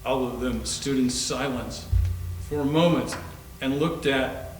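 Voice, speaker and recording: A man's voice: medium pitch, measured pace, faint music behind. A man reading scripted story narration for readers theater. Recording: echoey room, distant mic, faint noise.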